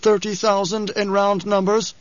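A man's voice: little pitch movement, normal speed, dry recording, lightly acted. A man voicing a shrewd older male spymaster who speaks mildly and precisely in a raised voice. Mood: calm. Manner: mild, precise, higher voice. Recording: dull, dry recording.

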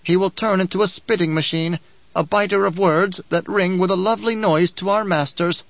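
A man's voice: sits high, steady tone, normal speed, vocal fry, fairly bright tone, dry recording, dull audio, pitched above the performer's own voice. A man reading an audiobook, voicing a whining, spiteful male dwarf who taunts and giggles. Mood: mocking.

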